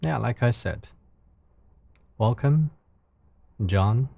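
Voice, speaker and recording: A man's voice: medium pitch, expressive, drawn-out words, vocal fry, warm tone, dry recording. A man from China with a Chinese accent and a soothing voice, chatting casually with viewers on his livestream in careful, searching second-language phrasing. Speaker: live streamer.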